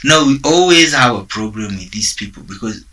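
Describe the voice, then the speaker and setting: A man's voice: on the low side, animated, a little fast, sharp tone, distant mic, rough recording. A Kenyan man co-hosting a podcast, chatting casually in a teasing, incredulous way. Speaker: podcast co-host. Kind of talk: casual chat.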